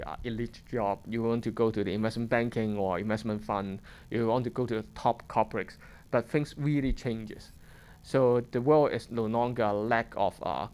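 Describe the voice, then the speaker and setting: A man in his thirties from Hong Kong with a Hong Kong accent, giving an informal seminar talk as a fund manager, halting and restarting often with small chuckles. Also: medium pitch, a little mellow, clean tone. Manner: halting, many restarts and chuckles, plain conversational English. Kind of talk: informal lecture to students. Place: lecture room.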